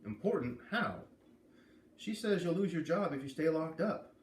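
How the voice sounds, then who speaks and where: A man's voice: on the high side, animated, moderate pace, voice pushed up, natural voice. Man voicing a young son, concerned, earnest, pleading questions, storybook dialogue, roomy echo. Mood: questioning. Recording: echoey room with clear background noise.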